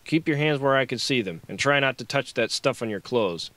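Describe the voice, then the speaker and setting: A man's voice: mid-range voice, lively intonation, brisk, fairly bright tone, no room sound, voice pushed up, close to an everyday voice. A man voicing a stern, suspicious male security guard with a curt manner, in an audiobook. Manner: curt, snapping. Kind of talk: audiobook character acting.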